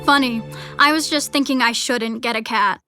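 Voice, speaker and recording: A woman's voice: fairly high pitch, moderate pace, music in the background, lightly acted. An actress playing a hesitant, easily swayed woman trading casual banter in an audio drama. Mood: wry, tentative.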